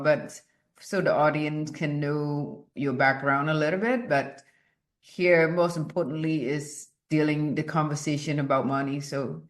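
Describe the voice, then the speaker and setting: A woman hosting a casual interview, chatty and often restarting her sentences. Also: sits low. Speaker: podcast host. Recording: roomy echo.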